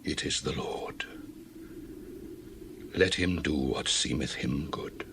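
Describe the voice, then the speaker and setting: Deep-voiced, slow, grave old priest. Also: male voice, gravelly, whispered, full character voice. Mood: resigned. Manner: slow, solemn, hushed. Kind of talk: dramatized scripture reading.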